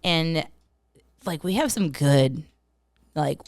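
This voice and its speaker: A young woman from Minnesota with a Minnesotan accent, chatting as a podcast guest, full of fillers and quick laughs. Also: deep voice, animated, dry recording, studio quality. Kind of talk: casual podcast chat.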